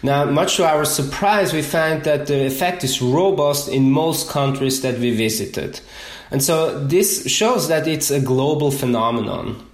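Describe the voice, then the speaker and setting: A man from Switzerland with a Swiss accent, explaining his research in measured detail as an interview guest. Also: a little high, reverberant. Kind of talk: interview answers.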